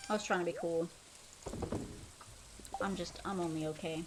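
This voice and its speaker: A woman's voice: on the low side, steady tone, moderate pace, background noise. A young woman streaming a game, chatting casually in a loose, rambling way full of fillers. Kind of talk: casual chat while playing a game.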